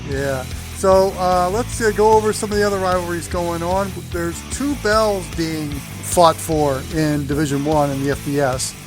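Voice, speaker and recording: A man's voice: on the high side, moderate pace, few pauses, music in the background. A man hosting a sports show, running through matchups and results in conversation with a guest.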